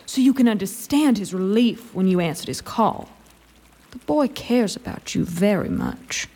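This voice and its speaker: A woman's voice: mid-range voice, animated, normal speed, gravelly, mellow, slightly rough. A woman playing an elf lord commander in an audio drama, formal and falsely sweet, with sly menace under mock kindness. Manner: mock-kindly, formal, sly, drawling emphasis.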